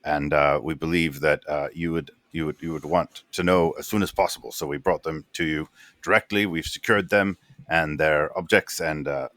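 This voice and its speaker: A man voicing a male soldier who reports to a minister in a halting, deferential way. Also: very deep voice, steady tone, a bit bright, dry recording, pitched below the performer's own voice.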